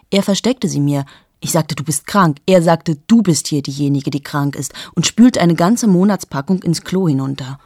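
A woman playing a cynical journalist, husky and low. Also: a little mellow, dry recording, studio quality, exaggerated voice. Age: 30s. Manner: confiding, often sinks to a bitter whisper. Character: cold, cynical woman journalist in her late thirties. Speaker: monologue character, a journalist. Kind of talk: radio play monologue.

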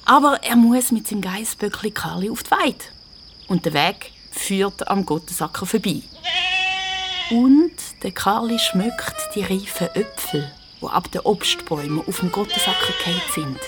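A woman with a Swiss accent tells a children's radio story. Her medium-pitched voice is involved and suspenseful, and music plays clearly behind her. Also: lively intonation, moderate pace. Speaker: narrator.

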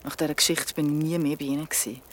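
A woman's voice: deep voice, fairly even intonation, very fast. A young actress with a Swiss German accent playing an uneasy young woman, recounting her story in casual, slangy speech for a radio drama. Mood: troubled recounting. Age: young adult. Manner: colloquial, youthful slang.

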